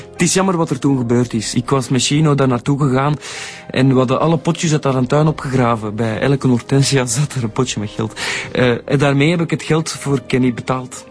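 A man's voice: medium pitch, moderate pace, lightly acted. An actor playing a nervous man with a Flemish accent, confessing hesitantly in a radio drama. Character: nervous accomplice confessing theft.

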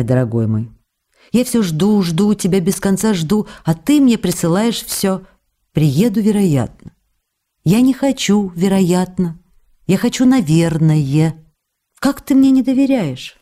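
Tender actress-lover in radio drama, low mellow voice, pleading letters, faint music behind. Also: female voice. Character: loving young actress writing longingly to her beloved. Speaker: letter reader.

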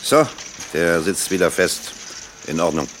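A gruff but kind middle-aged factory hand in a radio drama. His low voice is plain and understated, with noticeable background noise around him. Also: lively intonation, unhurried, a bit bright. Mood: gruff kindness. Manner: plain-spoken, helpful, understated. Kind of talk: German radio play acting.

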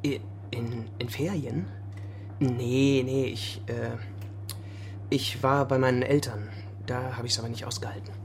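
An actor playing a soft, nervous young student in a radio drama, earnest and defensive, speaking haltingly with stammers. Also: male voice, mid-range voice, fairly even intonation, slight background noise, lightly acted. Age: young adult.

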